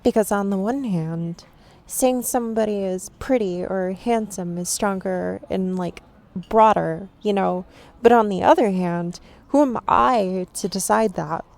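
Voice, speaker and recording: A woman's voice: mid-range voice, measured pace, gravelly, a little mellow. A woman playing a shy, nervous young woman stranger at a cafe in an ASMR roleplay, rambling and second-guessing herself. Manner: rambling, self-conscious, overthinking.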